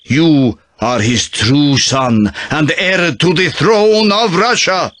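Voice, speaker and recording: An actor playing a solemn priest in a video game cutscene, telling his story in a grave, measured manner. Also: a little high, fairly bright tone. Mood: solemn, earnest. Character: solemn priest revealing a secret royal heritage. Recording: music behind the voice. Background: music.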